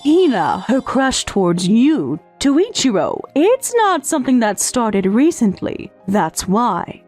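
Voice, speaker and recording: Woman doing a caring anime mom, observant, lively swingy intonation, soft music behind. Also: fairly high pitch, slow pace, heavily acted. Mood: gentle, knowing. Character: caring, observant working mother of teenagers. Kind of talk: anime-style dub acting.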